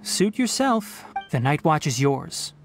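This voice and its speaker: Lively-toned young forest ranger. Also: male voice, on the high side, heavily acted. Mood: concerned, dry. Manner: articulate, measured, earnest. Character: calm, principled young forest ranger scholar, gently firm. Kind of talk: anime game voice acting. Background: game music.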